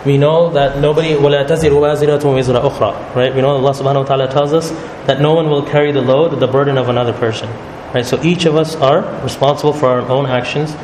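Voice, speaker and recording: A man leading a religious study circle, explaining calmly and often checking in with "right?". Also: mid-range voice, racing, hardly any pauses. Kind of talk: religious talk to a group. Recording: echoey hall with noise.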